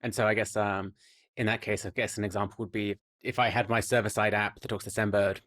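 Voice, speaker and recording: Man, podcast host, rapid speech, dry close sound, casual meandering technical questions. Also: on the low side, flat delivery, fairly bright tone, no echo. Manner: loosely phrased, hedging questions.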